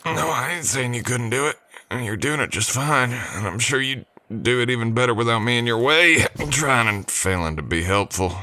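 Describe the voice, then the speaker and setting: A man playing a polite, easygoing drifter cowboy in an old west roleplay, soft-spoken, with folksy, slightly hesitant courtesy. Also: medium pitch, normal speed, few pauses, dry recording, lightly acted. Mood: curious. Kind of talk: ASMR roleplay acting, addressing the listener.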